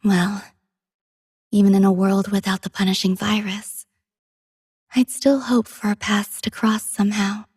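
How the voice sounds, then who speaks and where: A woman playing a gentle, poetic female android companion in a game, soft-spoken and wistful with a breathy voice. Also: mid-range voice, no echo, clean studio sound. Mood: dreamy. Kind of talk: scripted game character voice lines.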